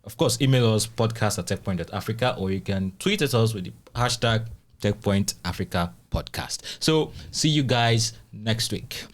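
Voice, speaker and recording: Deep-voiced male podcast host. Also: normal speed. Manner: relaxed, playful, loose and chatty. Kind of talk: casual podcast chat and sign-off.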